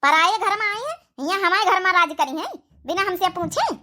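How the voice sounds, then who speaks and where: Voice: very high voice, animated, moderate pace, bright, forceful tone, exaggerated voice. A performer voicing a bossy, scolding older village mother-in-law in an Awadhi comedy cartoon, domineering and sarcastic. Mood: indignant. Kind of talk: rustic comedy cartoon dialogue.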